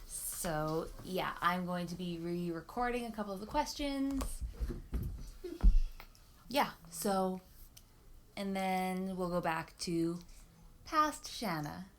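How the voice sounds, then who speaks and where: A woman's voice: on the low side, lively intonation, takes it slowly, long pauses, a little hiss or hum. A young woman vlogger chatting casually and enthusiastically, often repeating words for emphasis. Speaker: book vlogger. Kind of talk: casual chat to camera.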